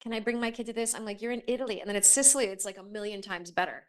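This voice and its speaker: A woman's voice: medium pitch, racing. A woman hosting a casual podcast interview, eager and curious, asking questions and often cutting in. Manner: eager, curious, talks over guest. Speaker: podcast host.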